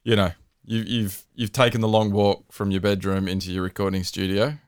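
A man's voice: on the low side, level tone, fairly quick, clean tone, dry recording, studio quality. A man hosting a podcast, teasing his co-host in jokey casual banter.

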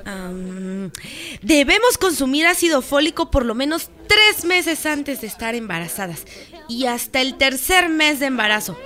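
A woman's voice: high-pitched, very animated, measured pace, bright, forceful tone, full character voice. An actress playing a firm, friendly village woman in a radio soap, earnest and emphatic, with a Mexican accent.

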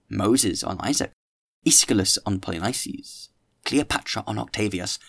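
A man's voice: on the low side, gravelly, no room sound. A man voicing a pompous, tipsy young orator who preaches grandly at a dinner in an audiobook. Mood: lofty.

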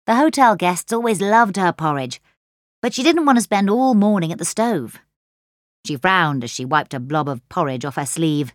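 A woman's voice: fairly low pitch, animated, normal speed, dry recording. A woman reading a children's story expressively as a scripted audiobook narration, close on the microphone. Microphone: close studio microphone.

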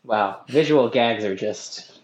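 A man's voice: lowish voice, slow, echoey room. A young man co-hosting a podcast in casual chat, rambling with self-mocking jokes. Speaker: podcast co-host. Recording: dull lo-fi sound.

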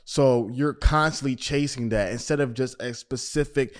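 A man's voice: mid-range voice, moderate pace. A man hosting a podcast, affirming his guest, laughing often and asking reflective questions. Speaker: podcast host. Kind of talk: interviewer chat.

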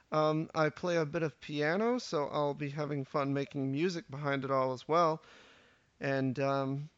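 A man's voice: fairly high pitch, no echo, muffled recording. A man in his thirties hosting a casual solo podcast intro, rambling and self-deprecating with lots of hesitation. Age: 30s. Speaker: podcast host.

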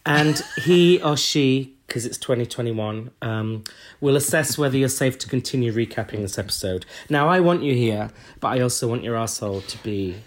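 Hoarse man joking around. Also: mid-range voice, lively intonation, normal speed. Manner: crude, joking banter. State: hoarse, recovering lost voice. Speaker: podcast co-host. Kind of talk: casual comedic chat.

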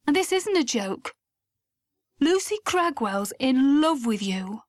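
A woman voicing a sharp, scornful young woman who speaks coldly and cuttingly. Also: high-pitched, very animated, slow, no echo, studio quality, full character voice. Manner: cold, cutting.

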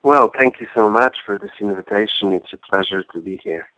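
A man in his forties with a Polish accent, a native Polish speaker, telling his story reflectively and with pauses as an interview guest. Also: on the low side, fairly bright tone, no echo, poor audio. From Poland. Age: 40s. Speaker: podcast guest, activist and author.

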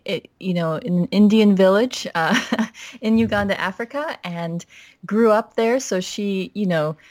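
A woman from Minnesota as a podcast guest, telling her family story in a reflective, steady way with frequent "you know" fillers. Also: mid-range voice, unhurried, no echo. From Minnesota, United States. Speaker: pastor's wife and guest. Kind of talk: podcast interview, personal story.

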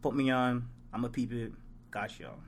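A man's voice: mid-range voice, steady tone, normal speed, a little hiss or hum. A man, co-hosting a casual podcast chat, laughing often and telling stories with quoted voices. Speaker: podcast co-host.

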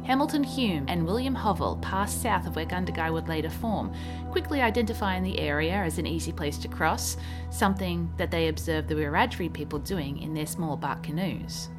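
Australian woman, low voice, measured history storyteller, scripted narration over background music.

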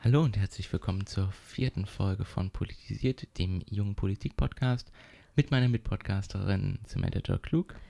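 Low gravelly young male podcast host. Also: mellow. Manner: measured, explaining with occasional fillers. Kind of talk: casual political podcast chat.

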